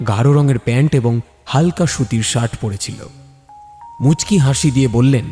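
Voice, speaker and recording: Dark-voiced man narrating in long reverb. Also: medium pitch, moderate pace. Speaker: narrator of a detective story. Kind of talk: dramatic scripted narration. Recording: long reverb, music underneath.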